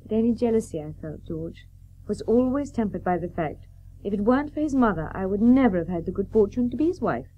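Husky, genteel Victorian wife. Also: female voice, mid-range voice, lively intonation, highs cut off. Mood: reflective. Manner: genteel, brisk. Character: genteel Victorian doctor's wife and mother, fond, brisk. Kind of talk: radio play acting.

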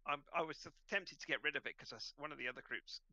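A man narrating an online tabletop game as game master in a hesitant, halting way. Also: fairly high pitch, sharp tone, dry recording, highs cut off.

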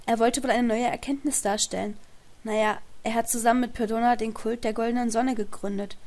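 A woman's voice: on the high side, steady tone, fairly quick, darkish tone, close to an everyday voice. A woman playing a scholarly, careful female translator in a fantasy audio drama, explaining and reading aloud. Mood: earnest, explanatory. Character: scholarly, hesitant female translator of elven writings.

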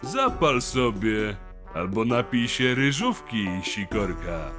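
A man's voice: mid-range voice, big pitch swings, slow pace, over music. A man playing an insolent, unbothered thief who taunts guards in a fantasy audio drama, mocking and nonchalant. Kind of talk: acted dialogue, fan audio drama.

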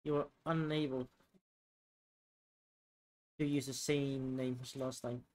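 A man's voice: mid-range voice, little pitch movement, slow, darkish tone. A man giving casual gameplay commentary as a let's player. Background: game music.

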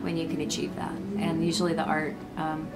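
A woman in her forties, a painter, speaking in a soft, reflective way. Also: low-pitched, monotone, a little fast, loud music behind the voice, low-quality recording. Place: gallery, indoors. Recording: loud crowd behind.

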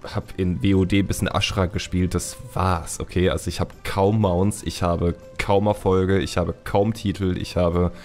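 A man's voice: low voice, level tone, moderate pace, few pauses, a little mellow, faint music behind. A man hosting a podcast in casual chat, with a jokey, colloquial way of talking. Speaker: podcast host.

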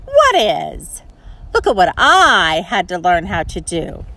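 A woman voicing a complaining male frog in a children's storybook reading, croaky and indignant. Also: on the low side, wildly swooping pitch, slow pace, sharp tone, no echo, full character voice, low-quality recording.

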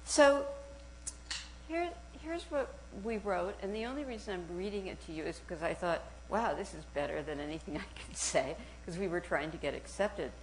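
A soft-spoken woman giving a university talk, partly reading aloud, animated and searching as she speaks. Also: lowish voice, moderate pace, a little hiss or hum, rough recording. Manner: animated and searching, with restarts and small laughs. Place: university auditorium.

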